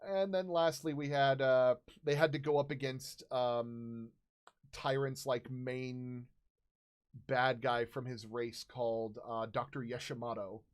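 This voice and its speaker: A man in his thirties casually recounting tabletop game stories as a host, halting and searching for words. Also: medium pitch, fairly even intonation. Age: 30s.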